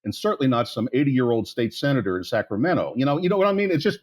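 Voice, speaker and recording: A man's voice: mid-range voice, expressive, fast talker. A man in his sixties from the Pittsburgh area, speaking as a guest on a podcast interview in a blunt, candid way that rambles with many restarts. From United States, Pittsburgh area. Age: 60s.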